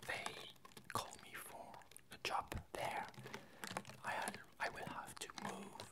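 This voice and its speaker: Slow whispering French-accented woman. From France. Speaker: ASMR video creator. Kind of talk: whispered ASMR chat.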